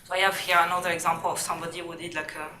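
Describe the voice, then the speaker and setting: A woman giving an academic conference talk with a somewhat hesitant, halting delivery. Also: on the low side, little pitch movement, very noisy. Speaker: academic presenter. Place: lecture room. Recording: echoey room, distant mic, music.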